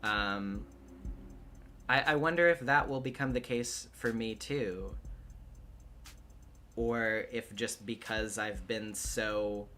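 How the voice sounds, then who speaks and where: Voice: mid-range voice, a bit bright, slight background noise. A livestreamer and voice actor with a male-range voice, chatting casually in a hesitant, thinking-aloud way.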